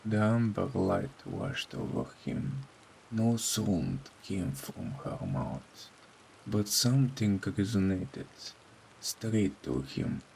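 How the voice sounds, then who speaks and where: Low male voice, gravelly creak, solemn horror narration, dramatic deliberate phrasing, faint rain behind. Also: sing-song, darkish tone, slight background noise, rough recording. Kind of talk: scripted horror story narration.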